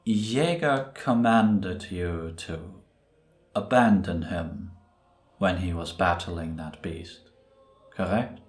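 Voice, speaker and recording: A man playing a grave, brooding priest who tells a dark tale in a solemn, ominous, deliberate way for a cinematic audio drama. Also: low voice. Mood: grave, probing. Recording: roomy echo on the voice.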